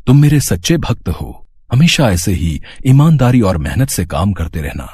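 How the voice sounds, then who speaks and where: A man playing a bold, protective god in human guise, firm, righteous and reassuring, in an animated moral story. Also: lowish voice, moderate pace, slightly rough, dry recording, heavily acted. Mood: benevolent.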